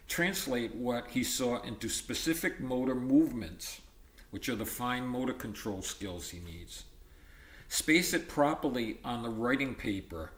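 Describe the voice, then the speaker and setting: A man's voice: medium pitch, echoey room. A man reading a textbook aloud in an explanatory, teacherly manner, breaking off for informal asides and check-ins. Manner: explanatory, teacherly, checks in with "okay" and "all right". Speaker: audiobook reader adding his own explanations.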